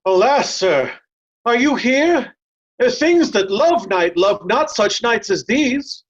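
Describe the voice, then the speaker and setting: Dull, lo-fi sound carries a woman acting a steadfast nobleman in a Shakespeare play. The speech is earnest and urgent, with a widely moving pitch. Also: lively intonation, slow. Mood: concerned. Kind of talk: theatrical Shakespearean reading.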